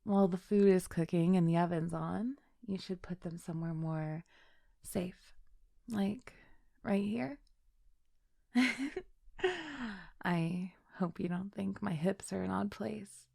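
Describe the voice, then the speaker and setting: A woman's voice: mid-range voice, takes it slowly, gravelly, long pauses, dark tone, no echo, studio quality. A woman playing a chill, flirty best friend with a secret crush in an intimate audio roleplay, speaking in a giggly, hesitant, affectionate way. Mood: tender, flirty. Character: chill, flirty female best friend shyly confessing love. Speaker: voice actor.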